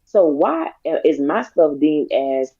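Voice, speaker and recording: A woman with a raspy voice, co-hosting a casual discussion podcast and talking in a deliberate, emphatic way. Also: deep voice, animated, no room sound. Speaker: podcast co-host. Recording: dull, lo-fi sound.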